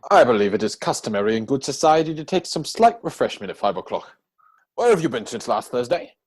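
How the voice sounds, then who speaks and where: A man acting a witty, idle young gentleman from a period comedy. His voice is slightly husky and swings widely in pitch, with arch, playful self-satisfaction in a theatrical reading. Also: big pitch swings, a little fast. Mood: arch, teasing. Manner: mannered, arch, theatrical delivery.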